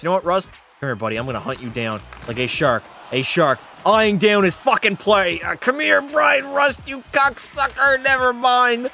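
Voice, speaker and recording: A man's voice: on the high side, bright, forceful tone, slight background noise. A young man from Canada, casually chatting and swearing while live-streaming a hockey game and answering chat. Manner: loose, profane banter, reading chat aloud. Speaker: gaming streamer.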